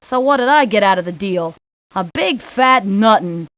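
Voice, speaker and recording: A woman's voice: mid-range voice, expressive, normal speed, a bit bright, no echo, lightly acted. A woman voicing a cocky, streetwise neighborhood boy, talking in brash slang for a cartoon video game. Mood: grumbling, cocky. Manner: brash, slangy wise-guy talk. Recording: dull lo-fi 1990s game audio.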